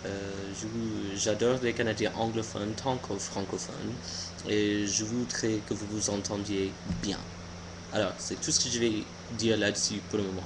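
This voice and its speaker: A young American man, a native English speaker, giving an informal opinion monologue haltingly, searching for words. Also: fairly low pitch, flat delivery, background noise. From United States. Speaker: video blogger.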